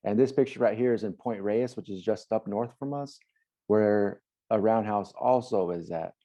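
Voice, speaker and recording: Man presenting slides on a video call; very low and warm, plain explanatory delivery. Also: normal speed. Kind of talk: online presentation.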